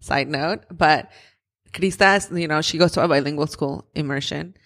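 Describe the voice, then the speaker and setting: A woman co-hosting a casual podcast in an expressive, chatty way. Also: low voice, normal speed. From Mexican heritage. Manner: giggly, expressive. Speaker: podcast host. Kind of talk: casual animated chat.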